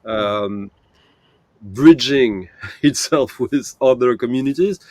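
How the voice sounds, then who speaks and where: A man's voice: medium pitch, takes it slowly, darkish tone, dry recording. A man chatting as a panel guest over a video call, thinking aloud with many fillers and self-corrections. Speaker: panel guest, vinyl channel creator. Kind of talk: casual panel chat.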